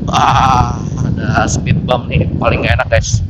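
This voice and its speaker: A man's voice: medium pitch, little pitch movement, takes it slowly. A man with a Jakarta Indonesian accent chatting casually and slangily to viewers, thinking aloud as he rides a motorcycle, on a close helmet intercom mic. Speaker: motorcycle vlogger. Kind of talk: casual chat to viewers while riding. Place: outdoors on a motorcycle in city streets. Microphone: intercom mic a finger from mouth, inside helmet. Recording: helmet intercom mic, clear vehicle noise. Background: traffic and engine noise.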